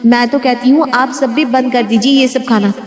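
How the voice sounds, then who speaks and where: A woman's voice: on the high side, fairly even intonation, normal speed, lightly acted. A woman playing a health-conscious, insistent young daughter-in-law in a family story drama. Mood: insistent, worried. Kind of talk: hammy family story dialogue. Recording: reverberant, hall-like echo.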